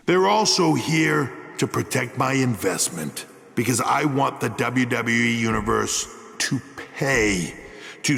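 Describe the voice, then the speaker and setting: An actor playing a menacing, smug wrestling boss who taunts in a deliberate promo speech. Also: male voice, on the high side, creaky, fairly bright tone, heavily acted. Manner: slow, taunting, deliberate pauses. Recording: hall echo, faint background noise.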